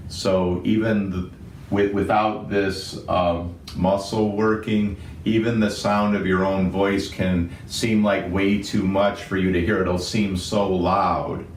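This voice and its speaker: Male doctor, low booming voice, level methodical delivery, roomy echo from a bit off-mic. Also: takes it slowly, far from the mic, slight background noise. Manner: steady, methodical explaining in medical terms. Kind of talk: unscripted medical explainer. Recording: roomy echo, somewhat off-mic, faint ambience.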